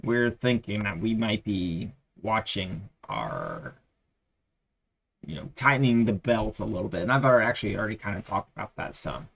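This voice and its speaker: A man's voice: on the low side, very animated, normal speed, gravelly, a bit bright. A tired man losing his voice, rambling with many fillers as he chats casually on a live trading stream.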